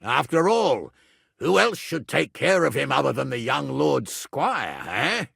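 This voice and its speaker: Blustery bossy middle-aged lord for a video game, gravelly, forceful, super dry recording. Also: male voice, mid-range voice, very animated, unhurried, no room sound, heavily acted. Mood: gruff, businesslike. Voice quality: gravelly, raspy. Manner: gruff, commanding, scolding. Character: blustering, irascible medieval nobleman, guardian of his ward. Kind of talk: dramatic video game character dialogue.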